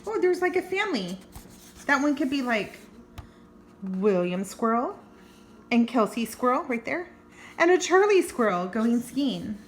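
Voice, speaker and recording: Older female storyteller, grandmotherly read-aloud, unhurried and exclaiming, with an echoey room sound. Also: on the high side, a little hiss or hum. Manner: expressive, exclaiming, gasping. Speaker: grandmother reading a picture book to children. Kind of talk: animated read-aloud storytelling with chatty asides. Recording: roomy, echoing home recording.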